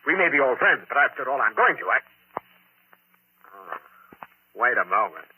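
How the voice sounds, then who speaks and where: A man's voice: medium pitch, sing-song, normal speed, slight background noise, natural voice. An actor playing a cruel, mocking handsome man in a 1940s radio drama. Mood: accusing, mocking. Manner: derisive, taunting. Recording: muffled 1940s radio, phone-like band.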